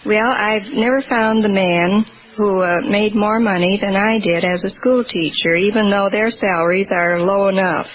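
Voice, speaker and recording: A woman in her forties from New York State, answering politely and with measured words as a radio quiz contestant. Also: medium pitch, steady tone. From New York State, United States. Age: 40s. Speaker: quiz show contestant, schoolteacher. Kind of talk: 1940s radio interview. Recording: muffled, very noisy 1940s radio recording.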